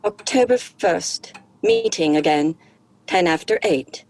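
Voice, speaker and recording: A woman's voice: lowish voice, wildly swooping pitch, slow, darkish tone, exaggerated voice, rough recording. An actress playing an earnest woman who records a solemn meeting, in formal, precise horror audio drama narration. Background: music.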